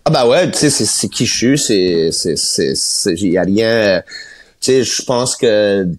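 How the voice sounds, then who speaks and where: Casting: Acadian French man, podcast guest, loose halting delivery, easy laugh. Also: on the low side, animated, a little hiss or hum. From Moncton, New Brunswick. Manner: halting, repeats words, laughs easily. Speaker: guest singer-songwriter. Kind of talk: casual podcast chat.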